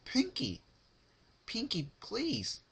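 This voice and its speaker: A man voicing a frightened female pony, halting and pleading, in a fan-fiction dramatic reading. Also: extremely high pitch, huge pitch swings, fairly bright tone, slightly rough, voice pushed up, full character voice. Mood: tearful begging.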